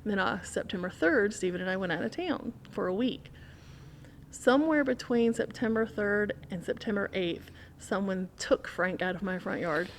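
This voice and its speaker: A woman's voice: medium pitch, normal speed, a little hiss or hum. A woman telling a story in casual conversation as a podcast guest, animatedly acting out what people said.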